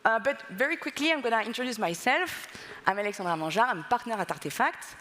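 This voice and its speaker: A woman's voice: a little high, animated, fast talker, a bit bright, long reverb tail, slight background noise. A woman in her thirties from France with a French accent, giving a conference talk on stage with many small fillers. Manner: fluent but slightly non-native phrasing, many "uh" fillers. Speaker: conference speaker. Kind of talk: conference presentation. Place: large conference hall on stage.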